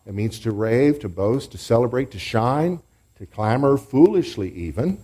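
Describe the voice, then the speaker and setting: A man's voice: mid-range voice, expressive, moderate pace, warm tone, husky. A man preaching and teaching a congregation in a church, leading them in call-and-response and group praise. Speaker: pastor. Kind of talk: sermon teaching.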